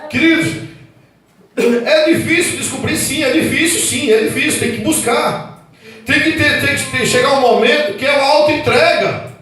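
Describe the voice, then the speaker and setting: A Brazilian pastor in his forties preaching a sermon with fervor, swinging from loud outbursts to low, earnest tones. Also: moderate pace. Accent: Brazilian Portuguese. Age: 40s. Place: church hall. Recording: echoey hall, mic at a distance.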